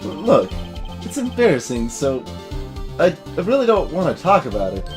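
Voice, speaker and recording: A streamer acts out a flustered young man from a video game, evasive and quick to get defensive. He stammers and swoops widely in pitch over background music. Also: huge pitch swings. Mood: defensive, reluctant. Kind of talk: video game dialogue read in character.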